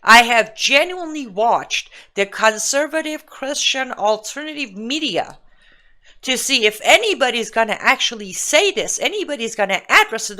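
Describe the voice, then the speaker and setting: Forceful Iranian-accented woman commentator. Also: fairly high pitch. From Iran. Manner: emphatic, indignant, rhetorical questioning. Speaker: political commentator and show host. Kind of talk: live political commentary monologue.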